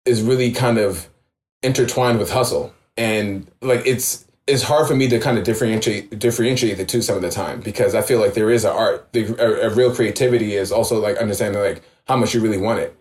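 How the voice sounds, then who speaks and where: Laid-back low-voiced man from New York. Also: fairly quick, roomy sound. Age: 30s. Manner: relaxed, filler-heavy, reflective. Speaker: guest. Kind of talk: casual interview talk. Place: home room.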